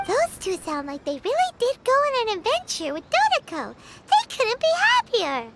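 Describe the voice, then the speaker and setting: A woman's voice: normal speed, background noise, exaggerated voice. A woman voicing a tiny, chirpy fairy-like game companion who speaks of herself in the third person. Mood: eager. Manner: talks about herself in the third person, squeaky and excitable. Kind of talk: game voice acting. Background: game music.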